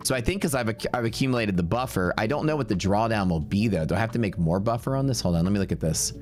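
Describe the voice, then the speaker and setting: A man's voice: lowish voice, animated, quick pace, a little mellow, faint music behind. A man with a Texas accent, streaming live day trading and chatting casually and brashly with his viewers. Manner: casual, brash, talks to chat.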